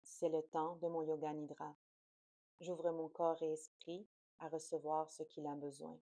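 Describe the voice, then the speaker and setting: A woman guiding a yoga nidra relaxation in a soothing, slow, unhurried manner. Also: low voice, level tone, dark tone, no echo. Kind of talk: guided relaxation meditation.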